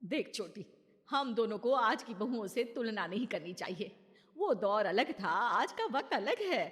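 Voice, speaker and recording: A woman voicing a gentle kindly mother-in-law. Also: a little high, very animated, unhurried, in a large hall. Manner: gentle, reassuring. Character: kind understanding middle-aged mother-in-law.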